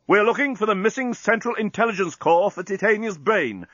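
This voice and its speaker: A man voicing a blustering, anxious male starship captain who shouts his demands. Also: high voice, animated, a little fast, no room sound, highs cut off, pitched above the performer's own voice. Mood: demanding.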